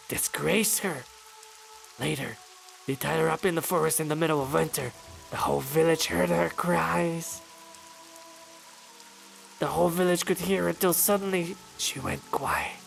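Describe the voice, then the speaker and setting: A man puts on an embittered villager in mourning, pitched well up, snappish and accusing. It is fantasy game dialogue with music and rain behind it. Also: vocal fry, a bit bright, exaggerated voice. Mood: furious accusing. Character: bitter grieving villager, mourning a little sister.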